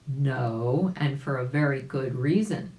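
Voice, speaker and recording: A sly, boastful storybook bear, played by a woman reader, coaxing its prey in a slow, low-pitched voice. The delivery swings up and down, and the room rings a little around it. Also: reverberant, highs cut off. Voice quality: harsh when revealed.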